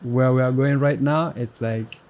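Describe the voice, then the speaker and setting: A young man hosting a casual interview show, speaking haltingly and in a friendly way with many pauses. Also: medium pitch, steady tone, darkish tone. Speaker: podcast host. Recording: faint background noise.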